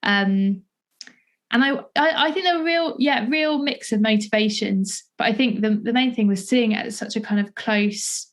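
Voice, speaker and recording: A woman's voice: a little high, moderate pace. A woman talking conversationally as a podcast interviewer, feeling her way through sentences with frequent false starts. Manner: hesitant, searching, hedging delivery with many false starts.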